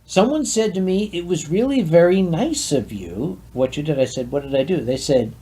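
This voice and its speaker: A man's voice: on the high side, animated, moderate pace, dark tone. A man with a Philadelphia accent, co-hosting a livestream chat and telling dry, teasing anecdotes. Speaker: podcast co-host.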